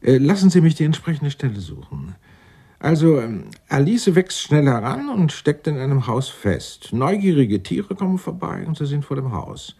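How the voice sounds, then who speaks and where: A man's voice: on the high side, big pitch swings, normal speed, warm tone, breathy edge, heavily acted. An actor playing an eccentric, indignant, self-righteous clerk in his sixties in a radio drama, speaking in a florid, pompous, theatrical way. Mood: eager, amused. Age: 60s. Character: eccentric, indignant 64-year-old Dutch tax-law clerk, self-righteous. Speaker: murder suspect being questioned.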